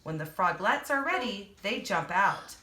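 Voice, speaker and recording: In an echoey room, a woman with a mid-pitched voice reads nonfiction aloud for young children. She talks straight to her listeners like a teacher, stepping out of the text to explain things simply. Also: lively intonation, measured pace, sharp tone.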